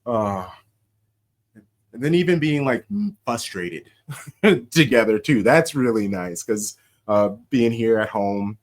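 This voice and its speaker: Young American male host, lowish voice, animated and laughing, casual podcast chat. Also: slow. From United States. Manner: animated storyteller, laughs often, shouts out quoted chants.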